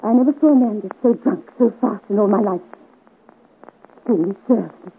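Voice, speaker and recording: A woman's voice: mid-range voice, normal speed, lightly acted. A woman acting a secretive, troubled young Parisian woman, earnest and dramatic, in 1950s radio serial style. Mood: confiding. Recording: narrow-band, hissy 1950s radio recording.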